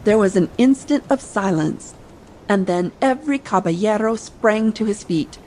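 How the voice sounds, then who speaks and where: A woman's voice: mid-range voice, animated, normal speed, a little mellow, slight background noise, low-quality recording. A woman narrator reading a scripted adventure audiobook meant for bedtime listening. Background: ambient sea sound bed.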